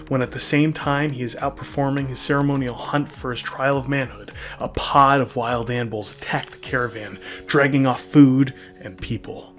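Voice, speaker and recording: A man's voice: medium pitch, fairly bright tone. Male lore narrator, conversational and emphatic with dry asides, music underneath. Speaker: host and narrator of a fan lore video. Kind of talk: semi-scripted lore narration with casual asides. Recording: music behind the voice. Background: background music.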